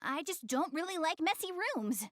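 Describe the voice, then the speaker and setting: A girlish anime game goddess with a high, lively voice. She is prim and proud, yet shy and quick to get flustered, stammering as she backtracks. The sound is close and dry. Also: dry recording. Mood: bashful, defensive. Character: young goddess girl, proud but easily flustered, tidy, shy. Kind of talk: anime game English dub.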